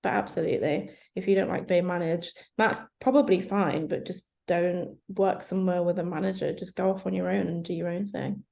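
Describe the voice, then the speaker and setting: A woman's voice: fairly low pitch, level tone, a little fast, creaky, hardly any pauses, darkish tone. A young woman guest on a podcast chat, talking candidly and conversationally about her work. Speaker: guest, head of SEO. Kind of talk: casual online chat.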